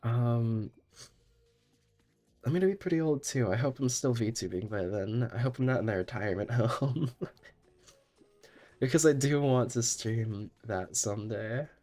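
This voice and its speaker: A man's voice: medium pitch, unhurried, long pauses, dark tone, faint music behind. A young man streaming a game while sick, chatting in a rambling way and laughing often. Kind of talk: casual gaming stream chat.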